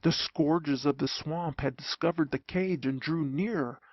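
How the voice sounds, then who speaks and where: An audiobook narrator reading a humorous story in a theatrical, expressive way. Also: mid-range voice, measured pace, no echo, highs cut off.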